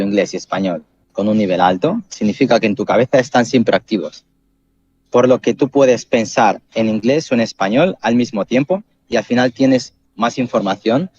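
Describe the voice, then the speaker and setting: A man hosting a podcast, calmly explaining ideas step by step with examples. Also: on the low side. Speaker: podcast host. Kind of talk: explanatory casual chat. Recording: dull, muffled sound.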